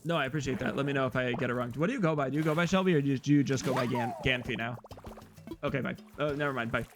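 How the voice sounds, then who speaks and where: A young man with a loud, slightly hoarse voice, rambling casually to his viewers as a live streamer while he plays a game. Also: medium pitch, fairly even intonation, very fast. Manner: loud, rambling, talks straight to chat. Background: faint guitar music.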